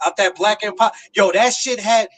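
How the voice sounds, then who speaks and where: Slangy, high-voiced man in his thirties. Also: brisk, fairly bright tone, no room sound. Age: 30s. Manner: slangy and profane, repeats words, keeps saying yo and son. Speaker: podcast co-host. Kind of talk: casual nostalgic chat.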